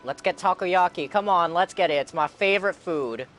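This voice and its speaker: A male streamer with a fairly high voice gives casual live commentary. He talks informally and earnestly, circling back over his phrases. Game music plays faintly behind him. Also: moderate pace, fairly bright tone. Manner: informal, earnest, repeats himself.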